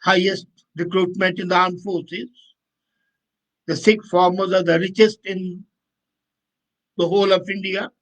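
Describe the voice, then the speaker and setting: Male commentator, notably high voice, emphatic and opinionated, off-the-cuff delivery. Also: flat delivery, measured pace, pauses often, a bit bright, low-quality recording. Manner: emphatic, opinionated, self-correcting. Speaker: commentator and host answering viewer comments. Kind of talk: unscripted political commentary.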